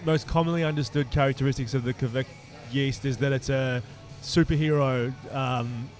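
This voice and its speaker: Measured male co-host, music behind. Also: mid-range voice, steady tone, a little mellow. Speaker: podcast co-host. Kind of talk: casual interview questions.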